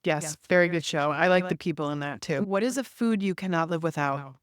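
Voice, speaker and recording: A woman's voice: deep voice, a little fast, clear, resonant tone, dry recording, clean studio sound. A woman hosting a podcast interview, asking questions in a friendly, upbeat way. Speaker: podcast host.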